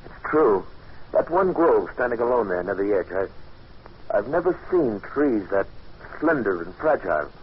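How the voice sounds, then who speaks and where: Dreamy obsessed gentleman, lowish voice, hesitant yet intense, 1940s radio drama, dull recording with slight noise. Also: sing-song, unhurried. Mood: musing. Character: Lawson, wealthy dreamy man gripped by strange obsession.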